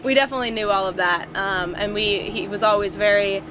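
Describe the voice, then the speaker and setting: A woman's voice: mid-range voice, brisk, background noise. A young woman chatting casually as a podcast guest, telling stories animatedly and acting out quotes with frequent laughs. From Washington DC area, raised abroad. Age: young adult. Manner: animated storyteller, acts out quotes, laughs often. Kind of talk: casual conversation. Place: inside a van.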